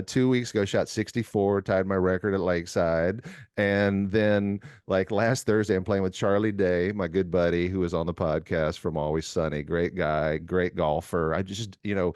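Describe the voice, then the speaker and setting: Low-pitched man, effusive podcast host. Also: a little mellow, dry recording, studio quality. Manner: effusive, rambling storyteller. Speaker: podcast host and amateur golfer. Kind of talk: casual, enthusiastic podcast interview.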